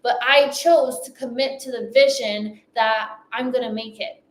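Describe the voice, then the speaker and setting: A woman's voice: on the high side, steady tone, measured pace, echoey room. A woman whose first language is French, speaking with a light French accent and giving an emphatic motivational talk at a summit, repeating phrases for effect. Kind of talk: motivational speech.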